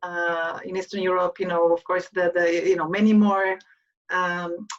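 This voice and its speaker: A woman's voice: on the low side, flat delivery, normal speed, far from the mic, dull audio. A woman whose first language is Hebrew, interviewing a guest in a loose, rambling way with many restarts and "right?" tags. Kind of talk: casual panel interview.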